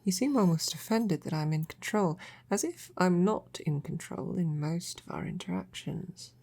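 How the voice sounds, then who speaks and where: A woman playing a devoted, tenderly teasing royal knight in an intimate roleplay audio, with breathy, often whispered, courtly speech. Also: fairly low pitch, a little mellow. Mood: teasing, affectionate. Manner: slow, tender, courtly phrasing.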